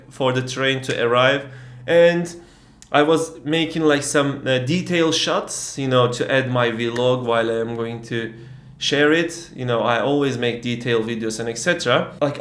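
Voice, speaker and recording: A man's voice: a little high, echoey room. A young man from Turkey, speaking English with a Turkish accent as a non-native speaker, telling a travel story in a casual vlog with lots of fillers. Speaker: travel vlogger.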